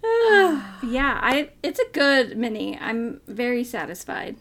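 Woman podcast co-host, high voice, unhurried, playful and quick to laugh. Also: very animated, fairly bright tone. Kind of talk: casual chat.

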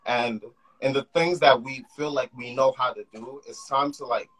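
A man's voice: mid-range voice, fairly even intonation, normal speed, a bit bright, low-quality recording. A young man hosting a mental health podcast over a call, talking with enthusiasm in loose run-on phrases. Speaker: podcast host. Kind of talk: casual conversation.

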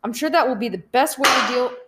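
A woman playing a polite, procedural chief telephone operator in a radio play, measured and a little hesitant. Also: on the high side, heavy background noise, natural voice. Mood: politely firm.

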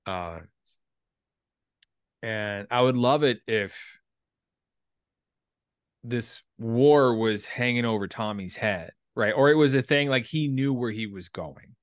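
A man in his thirties talking through writing ideas casually, in a halting, reflective way with some salty language. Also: medium pitch, dry recording, clean studio sound. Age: 30s. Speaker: writer and performer, military veteran. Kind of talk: casual creative discussion.

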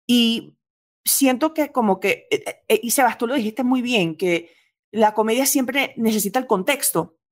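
Woman, Venezuelan accent, comedian, casual chat, lively, mixes in English, somewhat high voice. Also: unhurried. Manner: lively, mixes English phrases into Spanish, laughs often. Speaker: stand-up comedian, guest.

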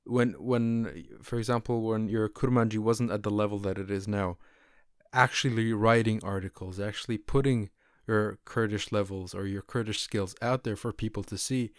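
Host, woman; exceptionally deep pitch, dry close sound; encouraging, asks follow-ups. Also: steady tone, moderate pace, no room sound. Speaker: podcast host. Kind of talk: casual interview conversation.

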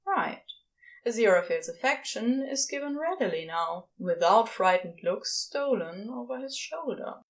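A woman reading fiction aloud as expressive scripted narration, with dramatic pauses. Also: a little high. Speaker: podfic reader.

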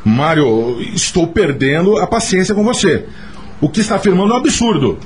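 An actor playing a protective, steadfast brother in a radio soap opera, speaking in a firm, earnest way. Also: male voice, high-pitched, very animated, moderate pace, slightly rough, heavily acted. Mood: indignant. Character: protective, steadfast brother standing up for his sister.

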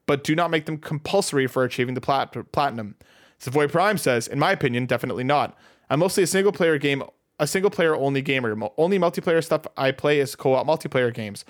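A man hosts a casual podcast about games. His voice sits a little higher than most men's, and he moves through his words very quickly. The delivery is conversational, like a regular co-host. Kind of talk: casual gaming podcast talk, reading out listener comments.

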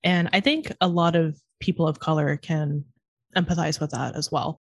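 A woman in her twenties with a Californian accent, talking fast and chatty in casual conversation with lots of fillers. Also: low-pitched, steady tone, vocal fry, dry recording, clean studio sound.

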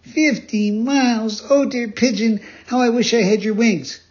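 A man voicing Pinocchio, a young wooden marionette boy, in a high boyish storybook voice, earnest, wailing and imploring. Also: measured pace, darkish tone. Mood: excited, anxious. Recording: dull lo-fi recording.

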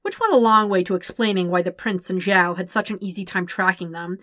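Woman, bright crisp tone, medium pitch, expressive story narration, dry close recording. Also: fairly quick, no room sound. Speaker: podfic narrator. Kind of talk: expressive scripted fiction narration.

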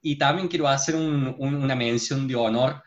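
Animated man, muffled mic. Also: mid-range voice, moderate pace. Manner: animated, storytelling. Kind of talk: casual podcast chat.

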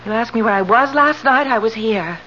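An actress playing an affectionate but easily indignant younger wife in a 1970s radio drama, theatrical and expressive. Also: female voice, fairly high pitch, a little fast, lo-fi sound. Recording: noisy, band-limited 1970s radio recording.

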